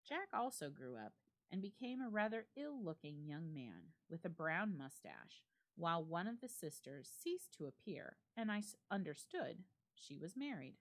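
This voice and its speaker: Woman, lowish voice, very lively intonation, dramatic story reading, measured phrasing, deliberate pauses. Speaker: library staff member reading a story aloud.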